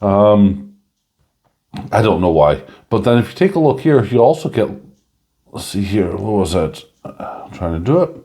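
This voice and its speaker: A man chatting casually through a coin review, rambling with lots of fillers and a slightly hoarse voice. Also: lowish voice, very animated, a little slow, long pauses, dark tone.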